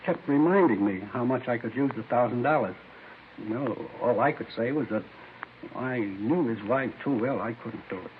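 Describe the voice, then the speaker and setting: An uneasy, down-on-his-luck informant played by a man talks in a medium-pitched voice, halting and earnest. It is vintage radio drama acting on a tinny recording with audible hiss. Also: lightly acted.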